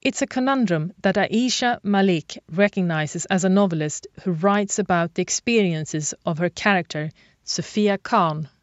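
Woman reading a radio feature script, lowish voice, dry dull studio sound. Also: normal speed, dry recording. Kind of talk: scripted narration.

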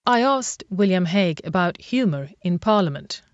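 A woman presenting a radio feature in a measured, reflective scripted narration. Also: on the low side, lively intonation, dry recording. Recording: dry, slightly dull studio sound.

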